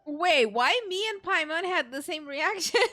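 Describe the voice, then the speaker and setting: A woman's voice: very high voice, animated, unhurried, pushed and bright. A woman streamer giving casual, playfully dramatic game reactions to her chat, often repeating phrases. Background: game music.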